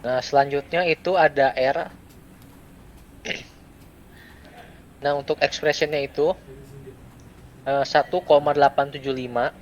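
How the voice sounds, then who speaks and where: A young adult man gives a step-by-step software tutorial, speaking in short, halting phrases with pauses. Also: on the high side, steady tone, lo-fi sound. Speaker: student presenter giving a software tutorial. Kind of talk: step-by-step screen tutorial, unscripted. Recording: clear background noise.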